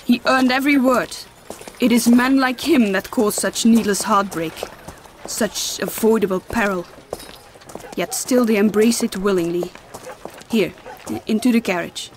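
A woman's voice: medium pitch, unhurried, a little hiss or hum, close to an everyday voice. An actress playing a stern, imperious woman healer in a fantasy audio drama, scolding in formal, archaic phrases. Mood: firm, explaining. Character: stern, commanding woman healer and mother, scornful of fools. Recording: loud music behind the voice.

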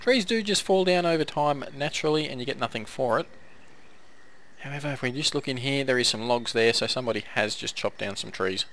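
A man giving casual commentary while playing a strategy game, hoarse and coughing now and then as his voice wears out, chatting to his villagers as he goes. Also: mid-range voice, a little fast, fairly bright tone. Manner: chatty, talks to the game's villagers. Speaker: gameplay commentator. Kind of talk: casual let's-play commentary.

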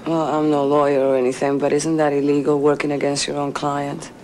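A woman's voice: sits low, completely flat delivery, brisk. An actress playing a wry, caring office worker in her thirties, with an American accent. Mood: doubtful. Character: wry, caring young woman working in an office. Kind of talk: naturalistic television drama acting. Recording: noisy television drama soundtrack.

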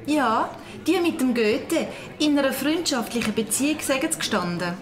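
A woman's voice: sits high, lively intonation, moderate pace, crowd noise. A woman playing a gossiping villager in a radio play. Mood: gossipy.

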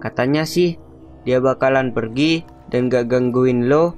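A man's voice: mid-range voice, measured pace, darkish tone, faint music behind, natural voice. A man voicing a young college student in a cartoon, telling rumors in casual, slangy Jakarta-accented Indonesian. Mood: ominous gossip. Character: young male college student spreading campus ghost rumors. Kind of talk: animated cartoon dialogue.

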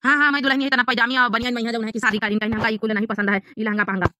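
A woman's voice: fairly high pitch, flat delivery, very fast, pushed and bright, no room sound, studio quality. A woman voicing an exasperated young village bridegroom in a comedy cartoon, arguing and pleading with an Awadhi accent. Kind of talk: comedy cartoon voice acting in dialect.

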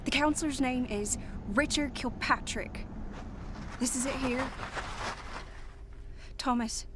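A woman's voice: high-pitched, takes it slowly, background noise. An actress playing a loving, worried mother with a Southern American accent, talking to her grown son in a radio drama. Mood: stern pleading. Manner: pleading, emotional.

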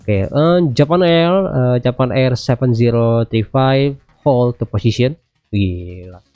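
A man's voice: mid-range voice, slow, mellow, dry recording, soft background music. A man in his thirties with an Indonesian accent, giving chatty, informal gameplay commentary with some English radio-style phrases. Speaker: gaming video host. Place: indoors at a computer, music behind.

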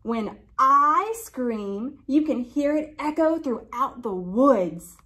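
A woman voicing a vain, scornful male bobcat in a children's storybook, boasting in a drawn-out manner. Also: high voice, expressive, sharp tone, full character voice. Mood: boastful.

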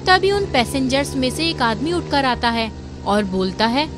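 A woman's voice: high-pitched, very animated, normal speed. A woman reading scripted story narration as a storyteller. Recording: faint music behind. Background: music.

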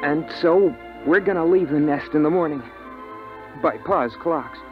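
A man's voice: on the high side, a little slow, lightly acted. An actor playing an earnest, hesitant boy in a survivor family, in 1950s radio drama style. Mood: reflective. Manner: hesitant, wistful, plain-spoken. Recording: tinny 1950s radio recording with music.

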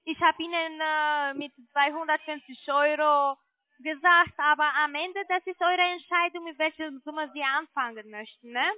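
A non-native German-speaking woman giving a hesitant sales pitch over the telephone as a call centre agent. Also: no echo. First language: not German. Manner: hesitant, halting. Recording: muffled narrowband phone line.

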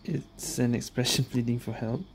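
A woman's voice: rumbling low, steady tone, moderate pace, a little hiss or hum. A woman streaming a video game, casually reacting and commenting as it plays. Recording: game music behind the voice.

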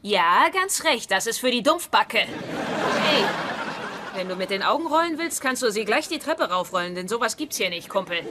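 A woman acts as an exasperated, sharp-tongued wife in a dubbed sitcom. She is sarcastic and commanding in a fairly high voice, with loud crowd noise behind her. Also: expressive, normal speed, lightly acted. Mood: bossy, firm. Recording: very noisy, crowd sounds behind.

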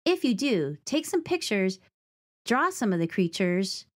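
A woman reading a picture book of rhymes and nature facts aloud to children, with expressive, clearly phrased delivery. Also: medium pitch, a little slow.

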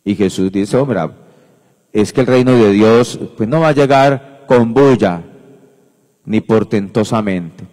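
Male priest, 50s, slow deliberate homily, repeats for emphasis, handheld mic, church reverb. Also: on the low side, a little mellow. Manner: unhurried, emphatic, repeats phrases, gestures broadly. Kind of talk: homily at Mass. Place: church sanctuary at the lectern. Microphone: handheld microphone.

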